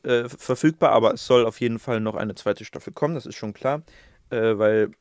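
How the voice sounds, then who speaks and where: A man's voice: fairly low pitch, brisk, darkish tone, smooth, dry recording, clean studio sound. A man co-hosting a casual podcast, rambling and self-mocking, with plenty of fillers. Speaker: podcast co-host.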